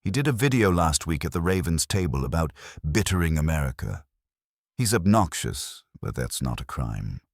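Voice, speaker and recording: A man voicing a sharp, sardonic female journalist in a detective story, arch and pointed. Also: very deep voice, big pitch swings, normal speed, vocal fry, no room sound, studio quality, full character voice.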